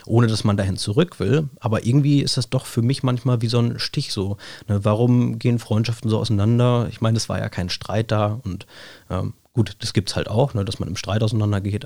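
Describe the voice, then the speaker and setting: A man, hoarse with a cold, musing in a relaxed chat and padding his talk with fillers and tag questions. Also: lowish voice, no echo. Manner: thoughtful, rambling, many fillers and tag questions. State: hoarse with a cold, scratchy throat. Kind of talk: reflective casual chat.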